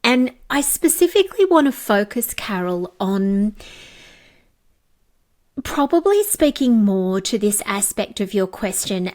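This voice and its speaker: A woman hosting a solo podcast on coaching, slightly raspy and blocked up from a cold, speaking with effusive praise and casual fillers. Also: medium pitch. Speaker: podcast host and coaching trainer.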